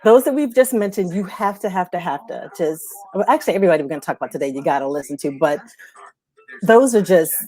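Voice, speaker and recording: A woman's voice: on the low side, lively intonation, quick pace, mellow. A woman co-host in a casual, unscripted chat, effusive and emphatic, often repeating words for emphasis. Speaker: music talk show co-host.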